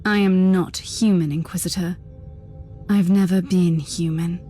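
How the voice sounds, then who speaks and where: A woman's voice: fairly low pitch, steady tone, measured pace, mellow, faint music behind, lightly acted. An actress playing a resolute, fatalistic warrior woman with an intense, solemn manner in a video game cutscene. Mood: solemn resolve.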